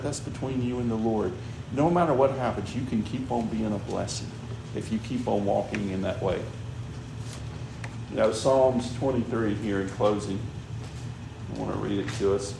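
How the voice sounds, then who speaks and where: A man's voice: fairly low pitch, moderate pace, creaky. A man preaching a sermon in a conversational way, often checking in with "all right?" and "you know". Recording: echoey room with background noise.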